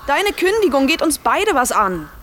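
A woman's voice: sits high, big pitch swings, moderate pace, bright, forceful tone, a little hiss or hum, heavily acted. A woman acting a hurt, reproachful partner in a naturalistic radio drama, insistent and sarcastic.

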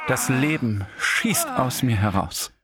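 A man's voice: mid-range voice, huge pitch swings, takes it slowly, exaggerated voice. An actor playing a brooding, troubled man in an intimate radio drama, with hesitant delivery. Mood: tormented, intense. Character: brooding, troubled husband drifting into an affair. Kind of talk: intimate radio drama dialogue and inner monologue. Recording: music under the voice. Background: music.

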